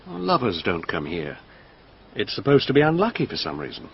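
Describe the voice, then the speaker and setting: A man's voice: lowish voice, wildly swooping pitch, brisk, gravelly, exaggerated voice. A man playing an aloof, vain garden designer in a radio drama, with a cool, drawling, faintly disdainful manner. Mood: amused, cool. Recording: dull lo-fi radio drama, noisy.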